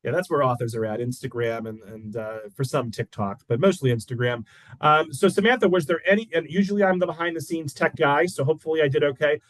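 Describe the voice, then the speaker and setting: A man hosting a library author interview over a video call, asking questions in a halting way full of fillers. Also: a little high, no echo. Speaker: library event host.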